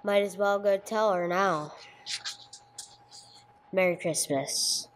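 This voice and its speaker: A woman's voice: medium pitch, takes it slowly, natural voice. A woman playing a terse, sober police detective who narrates a case in an old-time radio drama style, on a noisy recording. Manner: matter-of-fact, clipped. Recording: clearly noisy amateur recording.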